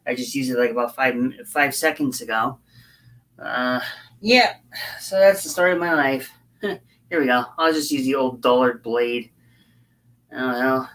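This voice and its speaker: A hoarse woman chatting casually on a livestream as she builds a scale model, rambling and halting with frequent fillers. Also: extremely low pitch, fairly bright tone. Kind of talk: casual livestream chat while working.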